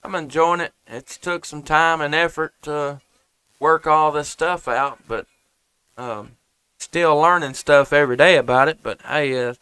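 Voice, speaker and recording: Crisp, bright man on ham radio. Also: on the high side, fairly even intonation, a little slow, no room sound. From Tennessee. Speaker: amateur radio operator, live streamer. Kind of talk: casual ham radio contact talk.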